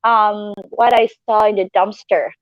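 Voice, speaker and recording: A woman's voice: medium pitch, steady tone, a little slow, clear, resonant tone, dry recording, muffled recording. A woman from Malaysia chatting casually and giggly as co-host of a live stream from her living room at home.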